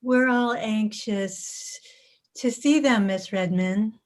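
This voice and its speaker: A woman in a staged reading, playing a polite, evasive 1960s suburban housewife in a measured, deliberate way. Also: mid-range voice, close to an everyday voice. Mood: guarded, polite. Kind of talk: staged reading of a satirical play. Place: video call.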